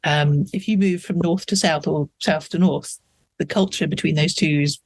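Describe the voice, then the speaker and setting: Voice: mid-range voice, steady tone, moderate pace, no room sound. An author, a guest talking in a thoughtful, reflective way with wry asides. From United Kingdom. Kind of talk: casual interview conversation.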